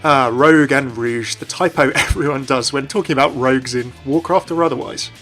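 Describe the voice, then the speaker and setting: A man's voice: mid-range voice, brisk, fairly bright tone. A man narrating a scripted gaming explainer in a chatty way with wry asides. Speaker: video narrator and game commentator. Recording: voice-over with light background music. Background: background music.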